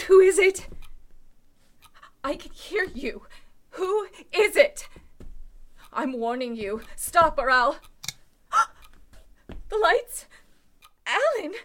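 A woman plays an anxious young heroine of an old-time radio drama. Her voice is high and swings widely in pitch. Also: very animated, extremely slow, pauses often, a bit bright, full character voice. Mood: frightened.

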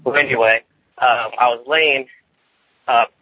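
A young man, calling in by phone and telling a personal story in a casual, rambling, nervous way, often halting and repeating himself. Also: mid-range voice, fairly even intonation, breathy edge, no room sound. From California, United States. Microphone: phone line. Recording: tinny, boxy phone call.

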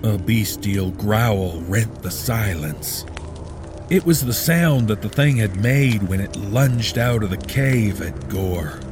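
A man with a lowish voice narrates a horror story slowly and gravely, letting each ominous line sink in. Music plays steadily underneath his reading. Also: animated. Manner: measured, grave, ominous delivery. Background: background music.

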